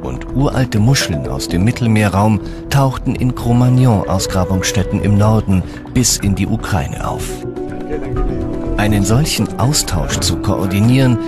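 A man with a fairly low voice narrates a documentary from a script. Music plays clearly behind him. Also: lively intonation, a little slow.

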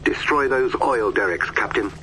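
An actor playing a terse, commanding military officer, giving firm, clipped orders over a game radio. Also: male voice, mid-range voice, expressive, moderate pace. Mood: firm, urgent. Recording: noisy radio with battle ambience.

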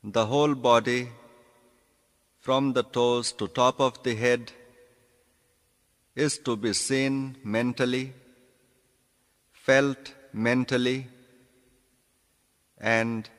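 A man giving guided meditation instructions as a yoga nidra teacher, speaking deliberately in short phrases with pauses. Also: mid-range voice, fairly even intonation. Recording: long reverberant hall echo.

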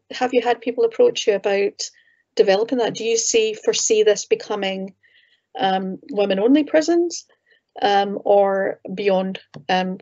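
A woman's voice: mid-range voice, darkish tone. Scottish woman chairing an online panel. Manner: measured, thanking and relaying questions. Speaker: event chair. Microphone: video call. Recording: muffled video call audio.

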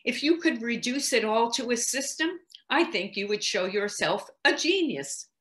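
A woman's voice: a little high, expressive, normal speed, fairly bright tone, pitched above the performer's own voice. A woman voicing an earnest, authoritative husband in a period story, formal, emphatic and persuasive. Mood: insistent.

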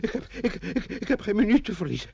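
A man's voice: high voice, sing-song, fast talker, creaky, a little mellow, breathy edge, dry recording, lo-fi sound, full character voice. An actor playing a flustered, self-pitying small-town mayor in an old radio play, lamenting and stammering as he repeats himself. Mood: distraught. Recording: noisy old radio recording.

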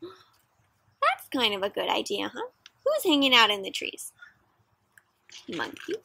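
Bright, high-voiced young woman reading to children. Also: takes it slowly, vocal fry, slightly rough. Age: young adult. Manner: expressive, asks the listener questions, gasps. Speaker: children's story reader. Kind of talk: interactive picture-book read-aloud for children. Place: outdoors in a backyard with play structure.

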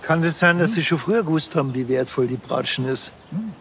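An actor playing a gruff, sardonic police investigator in a TV crime comedy, blunt and dry. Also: male voice, a little high, animated, fairly quick, fairly bright tone, a little hiss or hum. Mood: probing, dry.

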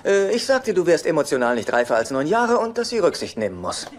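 A man's voice: a little high, very animated, fairly quick. A man playing a fussy, needy, meek brother in a sitcom dub, speaking hesitantly and pedantically with dry wit. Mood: earnest, wheedling. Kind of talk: dubbed sitcom dialogue. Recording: noisy TV sitcom soundtrack.

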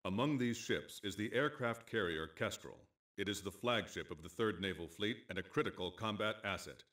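An actor playing a sober, formal military briefing officer in a video game, delivering scripted mission narration in a measured way. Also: male voice, on the low side, expressive, a bit bright. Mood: formal, grave.

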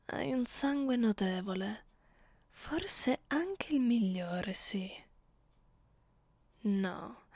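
A woman playing a haughty, seductive, sadistic female vampire in an ASMR roleplay, commanding and deliberate in manner. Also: mid-range voice, a little mellow, no room sound. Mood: seductive, imperious. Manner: commanding, slow and deliberate, slipping into breathy whispers. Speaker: roleplay narrator and voice actor. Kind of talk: ASMR roleplay, slow and close. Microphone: close, dry microphone.

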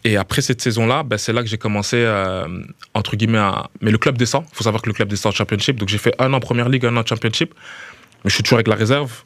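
Un homme d'une trentaine d'années à l'accent belge de Liège, footballeur invité, qui parle de façon décontractée et se répète en cherchant ses mots. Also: male voice, on the low side, fairly bright tone, no room sound. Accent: Belgian French, Liège. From Belgium. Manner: quick, repeats words as he searches, familiar "tu vois". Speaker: footballer guest. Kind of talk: casual interview chat. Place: small radio studio. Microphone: studio mic with headphones.

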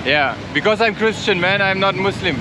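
Loud traffic and street noise surround a young man's slightly high voice. He hosts a casual travel vlog, talking in an upbeat, projecting way to the camera and to people around him. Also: moderate pace. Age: young adult. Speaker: travel vlogger and host. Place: mostly outdoors on busy city streets and on a motorbike. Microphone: camera held at arm's length.

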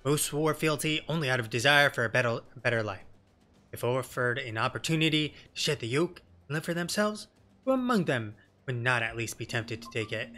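A man voicing a stern woman knight commander in a fantasy game, speaking formally and with measured authority. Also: mid-range voice, animated, bright, forceful tone, soft background music. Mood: grave, persuasive. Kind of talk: game dialogue read in character.

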